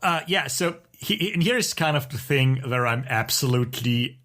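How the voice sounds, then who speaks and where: A man co-hosting a podcast in casual chat, talking in a halting way as he thinks aloud. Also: medium pitch, expressive, a bit bright. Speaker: podcast co-host.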